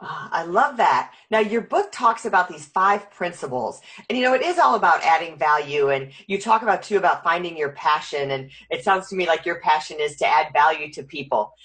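A woman's voice: on the low side, very animated, normal speed, a bit bright. A woman hosting a talk show, gushing and enthusiastic as she promotes products and introduces a guest. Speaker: host.

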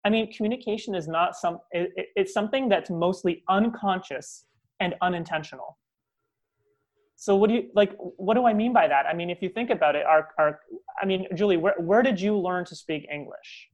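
A man's voice: high voice, a little fast. Man explaining in a coaching tone. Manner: explanatory, coaching tone with many restarts and tag questions. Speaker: guest speaking on communication. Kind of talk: casual interview conversation.

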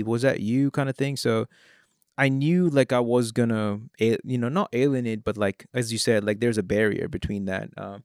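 A man's voice: medium pitch, no echo, studio quality. Man with a mixed Indian-British-American accent. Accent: Indian English with British and American traces. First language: Hindi. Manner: relaxed and conversational, fillers like "you know" and "like". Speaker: rapper and music producer. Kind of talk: casual interview answers. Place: Oklahoma.

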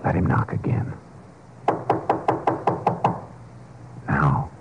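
An actor playing a firm, matter-of-fact Texas Ranger investigator in a 1950s radio drama. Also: male voice, moderate pace, highs cut off, natural voice. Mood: alert. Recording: noisy old 1950s radio recording.

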